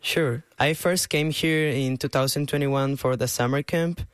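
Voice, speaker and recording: A man's voice: mid-range voice, unhurried, a bit bright, smooth, no echo, clean studio sound. An eighteen-year-old man from Peru with a Peruvian Spanish accent, giving earnest, casual interview answers in simple second-language English. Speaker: interview guest, clarinet student.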